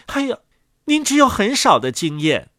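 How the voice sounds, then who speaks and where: A man's voice: sits high, huge pitch swings, moderate pace, pushed and bright, dry recording, studio quality, pitched above the performer's own voice, full character voice. A man voicing a boastful, haughty old inkwell in a theatrical storybook reading, pompous and full of self-admiration. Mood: indignant scorn.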